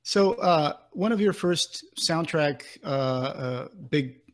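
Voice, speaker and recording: A man's voice: on the high side, expressive, takes it slowly. A man in his thirties interviewing casually as a podcast co-host. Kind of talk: casual chat. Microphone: desk mic with pop filter.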